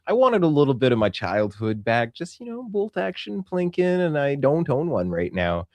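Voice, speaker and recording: A man's voice: a little high, sing-song, normal speed, a little mellow, no room sound. A Canadian man co-hosting a podcast in casual, emphatic, colloquial chat. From Saskatchewan, Canada. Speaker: podcast co-host.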